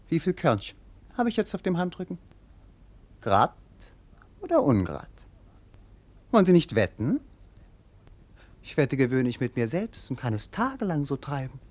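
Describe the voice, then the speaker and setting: A melancholic young prince in a classic radio play. His fairly high voice swings widely in pitch, whimsical and world-weary, with mocking theatrical irony. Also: darkish tone, exaggerated voice.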